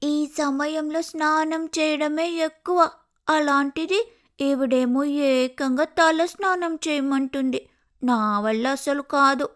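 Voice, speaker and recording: A woman's voice: sits high, fairly even intonation, takes it slowly. A woman voicing a lazy, pampered young female sparrow in a cartoon moral story, whining and wheedling dramatically. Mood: whiny, grumbling.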